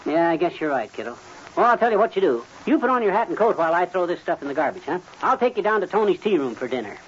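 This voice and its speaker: Boastful, folksy American husband, 1950s radio comedy, rapid delivery, hissy old recording. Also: male voice, high-pitched, sing-song, muffled recording. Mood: chatty confidence. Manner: folksy, tongue-twisting wordplay, pompous phrasing.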